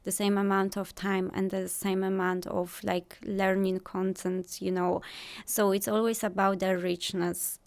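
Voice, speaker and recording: A woman in her thirties with a Polish accent, explaining her views calmly as a guest on a talk show. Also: mid-range voice, unhurried, smooth. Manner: thoughtful, explanatory, frequent "like" and "you know". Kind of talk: casual interview talk.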